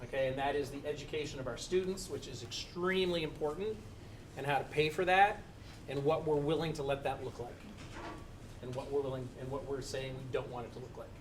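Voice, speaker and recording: A man's voice: mid-range voice, brisk, distant mic, slight background noise, low-quality recording. A middle-aged man presenting at a school committee public meeting, earnest and thankful, with some restarts. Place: school building meeting room.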